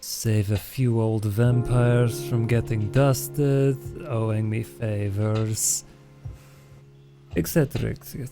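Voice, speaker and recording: A man voicing a calculating, self-interested vampire in a tabletop roleplay, with a dry, deliberate delivery. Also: medium pitch, fairly even intonation, over music. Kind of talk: actual play roleplay dialogue.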